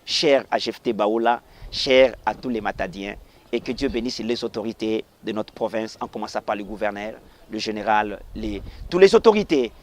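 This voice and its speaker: A middle-aged man from the Congo Central region of the DR Congo, a pastor speaking earnestly in an interview, rising to emphatic passages and dropping to quiet blessings. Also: fairly low pitch, expressive, normal speed, slight background noise. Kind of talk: formal interview remarks, preacherly.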